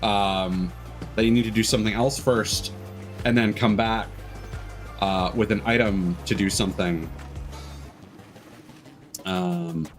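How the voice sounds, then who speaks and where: A man's voice: lowish voice, expressive, takes it slowly, long pauses. A man livestreaming a video game with casual commentary, rambling and analytical as he gives his opinions. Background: video game music.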